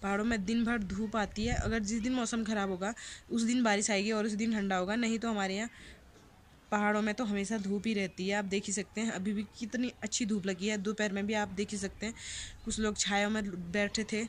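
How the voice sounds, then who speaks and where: A woman's voice: medium pitch, flat delivery, brisk, slight background noise. A young woman from the northern Indian hills, chatting casually to her phone camera outdoors as a home vlogger. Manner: chatty, homely, addresses viewers as friends. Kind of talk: casual vlog talk to camera. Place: rooftop outdoors in hill town. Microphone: phone camera at arm's length.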